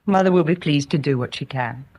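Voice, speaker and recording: An actress with an English accent playing a composed, guarded married daughter in a radio crime drama, with a cool, formal, wry delivery. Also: female voice, low voice, brisk, darkish tone. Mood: coolly wry.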